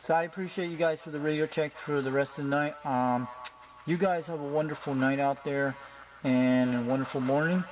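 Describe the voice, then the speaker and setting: A man's voice: fairly high pitch, steady tone, a little fast. Man on a noisy recording, chatting casually. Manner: informal, friendly, CB slang. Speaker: amateur CB radio operator. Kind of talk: casual hobbyist chat and radio talk. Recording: clearly noisy home video recording.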